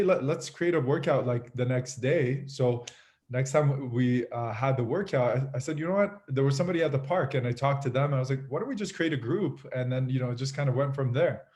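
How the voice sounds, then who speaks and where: A man from Iran who moved away as a child, telling his personal story casually as a podcast guest, in a halting, reflective way. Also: mid-range voice, mellow. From Iran, moved to Canada at eight. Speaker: podcast guest, fitness group leader.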